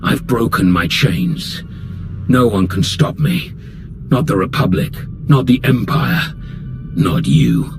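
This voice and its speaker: An actor playing an arrogant, menacing older Sith lord in a video game, speaking in an imperious, deliberate, contemptuous way. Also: male voice, sits low, sing-song, gravelly, exaggerated voice. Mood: defiant menace. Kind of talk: scripted video game acting. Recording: music behind the voice.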